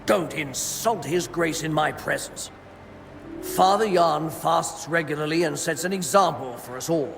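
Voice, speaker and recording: A fussy monk from a video game, guarding the food stores. His male voice is gravelly and slightly high, with grudging, pious formality. It rings in long reverb with music behind it. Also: unhurried. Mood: stern. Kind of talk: video game cutscene acting.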